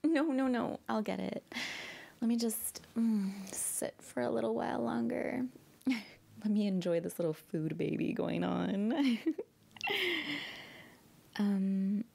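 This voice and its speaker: A woman's voice: mid-range voice, vocal fry, mellow. A young woman playing a bratty, pouting girlfriend in an ASMR audio roleplay, pleading and often dropping to whispers. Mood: playful, content. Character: bratty pouting girlfriend begging her partner.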